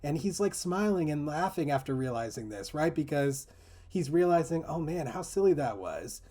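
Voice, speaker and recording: Higher-voiced man in earnest casual discussion. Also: animated, normal speed, warm tone. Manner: earnest, explanatory, peppered with "you know" and "like". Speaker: teacher in a discussion group.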